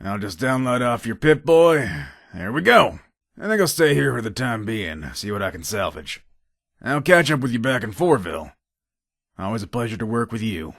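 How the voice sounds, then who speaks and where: Man acting as male video game gun dealer, lowish voice, lively pitch movement, shrewd, casual sales patter, hard bargainer. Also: normal speed, no echo, studio quality, exaggerated voice. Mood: cool, firm. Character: shrewd, businesslike male gun trader and robber. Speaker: voice actor. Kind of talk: video game character dialogue.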